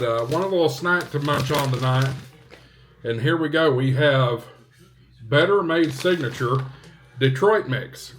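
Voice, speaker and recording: A man talking casually to viewers as a vlog host, in an unhurried, halting way with short phrases. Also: mid-range voice, fairly bright tone, slight background noise. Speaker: vlog host, ham radio hobbyist.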